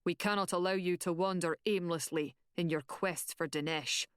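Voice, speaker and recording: A woman voicing a stern, commanding bearded male warrior chief who speaks in slow, imperious pronouncements. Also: lowish voice, steady tone, smooth, no echo, studio quality, natural voice.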